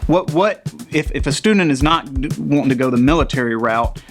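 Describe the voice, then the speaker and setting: A man's voice: a little high, a little fast. Male interviewer over faint music. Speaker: host, interviewer. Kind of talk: conversational interview.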